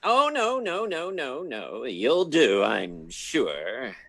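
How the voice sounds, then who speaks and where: A man performs a prim old man of means from a radio detective play. His speech is slow and fussily formal, hesitating over word choices. The pitch sits a little high and moves around a lot, in a dry, close recording. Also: sing-song, gravelly, pushed and bright, no room sound, full character voice. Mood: fussy, concerned. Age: older. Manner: formal, fussy, hesitant phrasing.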